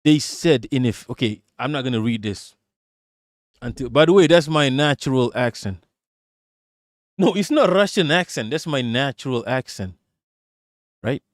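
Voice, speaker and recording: A man's voice: mid-range voice, expressive, moderate pace, pauses often, no echo, studio quality. A man using a put-on American accent as a livestream political commentator, reading aloud and then ranting. He is emphatic and profane, and he often stutters and repeats words.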